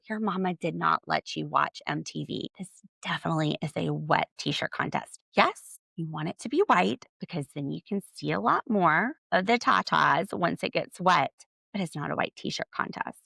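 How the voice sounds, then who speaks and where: A woman hosting a podcast alone, recapping a reality show in a chatty, sarcastic way with jokey asides and wide swings in pitch and pace. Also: lively intonation, moderate pace, gravelly, pushed and bright, no room sound. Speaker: podcast host. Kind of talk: solo recap commentary.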